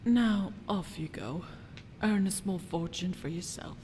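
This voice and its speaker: A woman's voice: fairly low pitch, a little slow, dark tone, slight background noise, natural voice. An actress playing a haughty, scheming fantasy noblewoman in a video game, with imperious, formal, disdainful diction. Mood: conspiratorial, threatening. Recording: music behind the voice.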